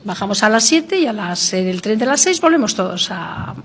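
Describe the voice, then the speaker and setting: A woman's voice: medium pitch, sing-song, normal speed, husky. A middle-aged woman from Madrid with a Castilian accent, chatting casually in an interview, emphatic and often repeating herself. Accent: Castilian Spanish. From Madrid, Spain. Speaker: interviewee, village resident. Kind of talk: casual live interview chat.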